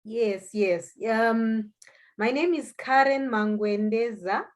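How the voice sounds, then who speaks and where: A woman's voice: on the high side, slow pace. A woman with a Zimbabwean accent, a native Shona speaker, giving a conversational personal account as an interview guest, with hesitant phrasing and repetition. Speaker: interview guest, language school founder.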